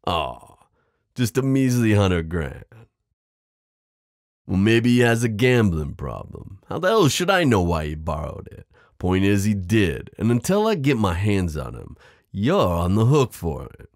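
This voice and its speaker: Man acting a deep, slow-talking mafia boss. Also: huge pitch swings, no echo, studio quality, exaggerated voice. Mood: firm, condescending. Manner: slow, teasing drawl addressing the listener with pet names. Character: smooth, possessive male mafia boss, menacing yet protective. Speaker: audio roleplay performer. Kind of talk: ASMR roleplay drama acting.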